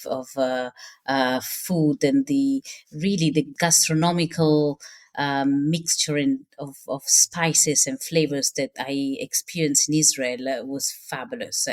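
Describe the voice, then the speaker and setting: Here is a middle-aged woman, talking as a guest in a relaxed interview. Her voice sits very low for a woman. She hesitates often, filling gaps with "um" and starting phrases over. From Rome, Italy. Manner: hesitant, with many fillers and restarts. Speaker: guest, cookbook author. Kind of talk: casual interview talk.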